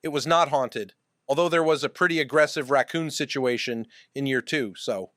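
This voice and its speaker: A man playing an eccentric, stoic oddball in an audio drama, speaking with formal, earnest deadpan. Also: medium pitch, moderate pace, no room sound, studio quality, natural voice. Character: eccentric, stoic male hot-sauce maker in a trailer.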